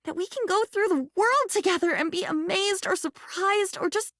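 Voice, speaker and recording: A woman's voice: very high-pitched, moderate pace, dry recording, studio quality. A woman voicing a sweet, naive and chatty living diary in a video game, rambling with wide-eyed wonder. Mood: joyful, relieved. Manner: breathless rambling, wide-eyed, swings from hushed to gushing.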